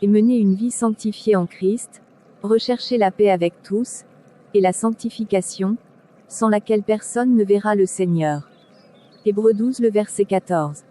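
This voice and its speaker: A woman playing a pious, kindly church sister who counsels in a measured, preachy way in an animated drama. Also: mid-range voice, lightly acted. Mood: solemn, advising.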